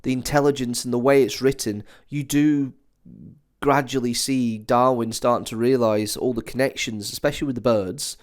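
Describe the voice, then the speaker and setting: A man presents an enthusiastic review in a conversational voice of medium pitch. He is chatty and emphatic, speaking as a host giving his own opinions. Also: a little mellow. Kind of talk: enthusiastic audio drama review.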